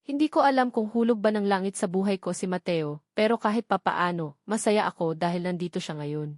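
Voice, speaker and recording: Female audiobook narrator for first-person fiction, mid-range pitch, dry recording. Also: a little fast, darkish tone, no room sound.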